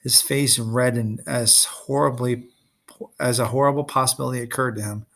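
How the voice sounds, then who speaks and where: A man reading a story aloud as an expressive narrator. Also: mid-range voice, normal speed, vocal fry. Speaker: storybook reader.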